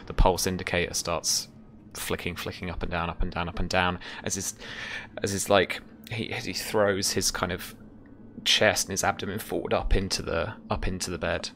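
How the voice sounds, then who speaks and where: Deep-voiced man narrating tabletop horror. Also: little pitch movement, moderate pace, a bit bright, a little hiss or hum. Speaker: game master.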